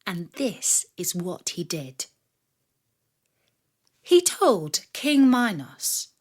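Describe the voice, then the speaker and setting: A woman reading a scripted story for children in a measured, expressive storyteller's manner. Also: mid-range voice. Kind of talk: scripted narration of a myth for children.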